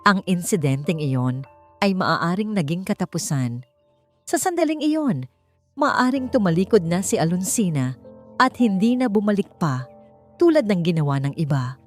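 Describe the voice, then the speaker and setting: A woman's voice: on the low side, big pitch swings, moderate pace, a little mellow. A woman with a Filipino accent narrating a scripted dramatic romance story, as a storyteller. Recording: music under the voice. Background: background music.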